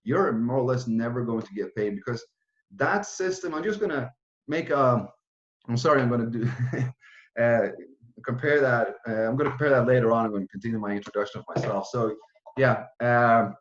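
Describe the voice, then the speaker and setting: Man in his thirties, roomy lo-fi sound. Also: medium pitch. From Norway. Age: 30s. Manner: fast, run-on, with frequent restarts and fillers. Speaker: project founder presenting. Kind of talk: online presentation with Q and A.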